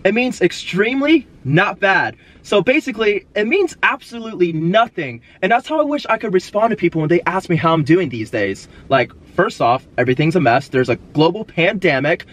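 A young man hosting a casual vlog, excitable and quick to laugh and yelp. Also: high-pitched. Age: young adult. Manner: excitable, yelps and laughs often. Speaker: host. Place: large home kitchen indoors. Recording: echoey room with background music.

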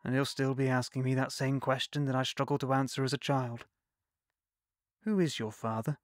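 An older man narrating a reflective memory slowly and wistfully. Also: medium pitch, steady tone, dry recording, clean studio sound. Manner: slow, wistful.

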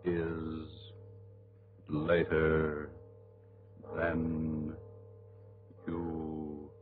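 A male 1930s radio announcer with an extremely deep voice intones very slowly. Music plays strongly behind him on a tinny old recording. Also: monotone. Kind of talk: 1930s slow dramatic announcing.

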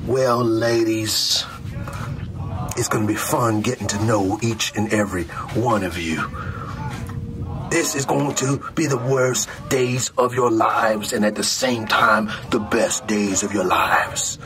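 A middle-aged American man playing a stern, mocking army drill sergeant, barking intimidating, deliberate lines in a comedic roleplay. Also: lowish voice, over music. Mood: commanding. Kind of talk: comedic military ASMR roleplay.

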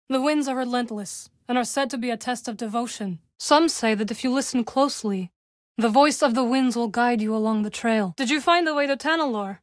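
Woman acting a young witch warrior, video game dialogue, fairly high voice, measured formal phrasing, dry wit, close dry sound. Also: no room sound, close to an everyday voice. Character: composed, dry-witted young witch warrior from a galactic fantasy.

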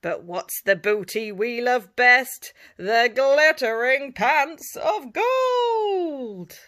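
A woman voicing excitable, silly pirate crewmates from a storybook, exclaiming loudly and dramatically. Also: high-pitched, very slow, voice pushed up, heavily acted. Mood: jubilant. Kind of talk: storybook character voice.

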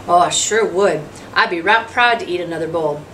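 A woman's voice: lowish voice, moderate pace, fairly bright tone, close to an everyday voice. A woman acts out a humble, hungry drifter in a storybook reading. He tells his hard life plainly, in a folksy rural dialect. The sound is a little distant, with faint noise behind. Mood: wry, eager. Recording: distant mic, noisy room sound.

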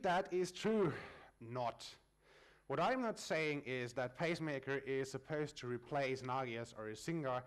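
Man from Germany, tech talk, friendly, lots of fillers, conference room, lectern mic. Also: medium pitch. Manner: friendly, explanatory with filler sounds. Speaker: conference speaker.

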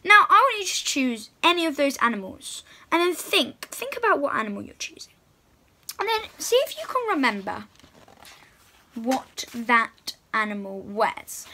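High, bright young girl. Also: measured pace, slight background noise. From England. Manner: excited, encouraging. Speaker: host of a children's read-aloud channel. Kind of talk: presenting to young children, reading a picture book aloud. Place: small room at home, indoors.

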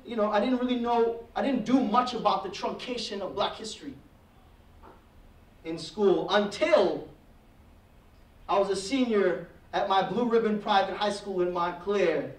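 Guy telling his life story at a heritage month talk, high voice, off mic, echoey room. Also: far from the mic. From Trinidad. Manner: reflective storytelling, gestures while speaking, rising intensity. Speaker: guest speaker. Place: classroom with projector screen. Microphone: podium mic, speaker standing away from it.

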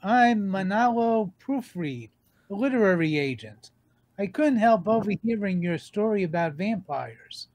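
A man voicing an enthusiastic, smooth-talking cartoon literary agent with an emphatic salesman's delivery. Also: high-pitched, expressive, measured pace, darkish tone, slight background noise. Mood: eager pitching. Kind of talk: comic dub voice acting.

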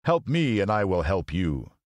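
A man's voice: deep voice, big pitch swings, moderate pace, no echo. A man voicing a stern, commanding great wizard in the form of a giant head, speaking in imperious, emphatic pronouncements for a storybook. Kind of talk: storybook character voice.

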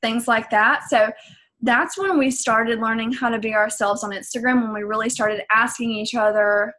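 A woman's voice: a little high, normal speed, fairly bright tone. A young woman with a Southern US accent, talking casually and earnestly about her coaching business, with lots of fillers. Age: young adult. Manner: chatty, filler-heavy, earnest. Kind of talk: casual vlog talk to camera.

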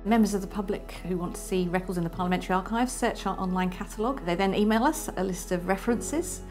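A woman's voice: mid-range voice, fast talker. A woman archivist explaining her work in a matter-of-fact documentary interview. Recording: clear music underneath.

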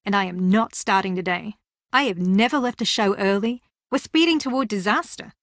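A woman's voice: mid-range voice, normal speed, a bit bright, no echo, studio quality, lightly acted. Wry, competitive young woman contestant played by an actress. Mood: tense, blunt. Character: driven, blunt young female reality-show contestant, competitive, wry. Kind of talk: video game voice acting.

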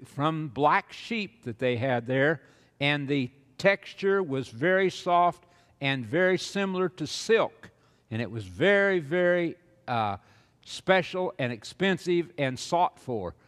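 An older man lecturing on stage as a preacher to an audience, slow and rambling, with many "uh"s and repeated words. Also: on the high side, a bit bright, long reverb tail. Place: large auditorium stage with audience.

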